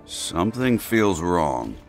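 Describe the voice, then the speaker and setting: A stern space ranger toy from a video game. The voice is lowish, slow and deliberate, with big heroic swings in pitch and a guarded, serious temper. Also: male voice, very animated, loud music behind the voice, exaggerated voice. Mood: grim. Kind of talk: animated video game acting.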